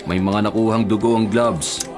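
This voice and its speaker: A man playing a stern, blunt police investigator with a Filipino accent, speaking deliberately in a radio drama. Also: on the low side, steady tone, natural voice. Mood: grave, blunt. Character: stern, matter-of-fact adult male police investigator. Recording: dramatic music behind. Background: music.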